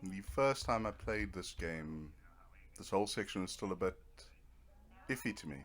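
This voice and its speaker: A man's voice: deep voice, lively intonation, moderate pace. A man giving casual, rambling commentary over a video game, with a dry, self-deprecating sense of humor. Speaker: video game let's player.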